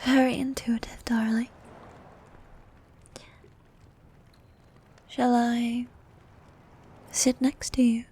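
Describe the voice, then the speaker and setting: A woman voicing a vain, flirtatious sea queen monster in an ASMR roleplay, soft and half-whispered, with a regal, teasing manner. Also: a little high, level tone, husky. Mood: coaxing, flirtatious. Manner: regal, teasing, slow and coaxing.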